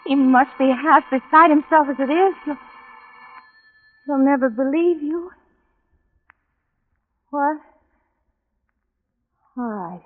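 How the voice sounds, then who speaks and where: A woman's voice: high-pitched, slow, pauses often. An actress playing an anxious, horrified woman friend, earnest and haunted, in 1940s radio drama style. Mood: alarmed, pleading. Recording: narrow old radio recording with music.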